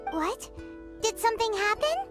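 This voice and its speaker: A woman voicing a tiny, excitable childlike fairy companion in an anime-style video game, with a squeaky voice. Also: unhurried, heavily acted. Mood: surprised, determined. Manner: excitable, refers to self in third person. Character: tiny floating fairy companion, childlike, bubbly, speaks of herself by name. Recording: loud background music.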